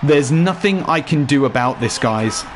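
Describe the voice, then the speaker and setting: Slightly hoarse man narrating a game tutorial. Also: on the high side, expressive, measured pace, background noise. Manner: chatty, addresses viewers directly. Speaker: gaming YouTuber. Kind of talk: casual game tutorial voice-over.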